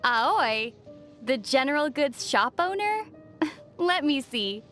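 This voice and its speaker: Gentle, high-voiced young female traveler. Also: very animated, takes it slowly, heavily acted. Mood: gently teasing. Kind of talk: video game dialogue acting. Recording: faint music under the voice. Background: faint background music.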